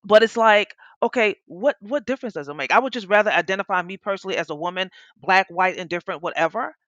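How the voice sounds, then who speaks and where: A woman's voice: medium pitch, fast talker, a bit bright, no room sound, muffled recording. A woman advocate speaking in a blunt, emphatic, impassioned way on a panel, repeating phrases for force. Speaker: panelist, women veterans advocate.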